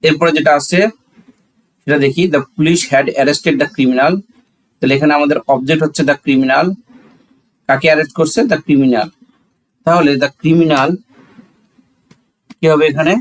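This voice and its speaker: A man's voice: mid-range voice, poor audio. Bangladeshi male teacher explaining step by step. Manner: instructional, asks and answers own questions, switches between Bengali and English. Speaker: English grammar teacher. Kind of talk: classroom-style lesson, explaining step by step.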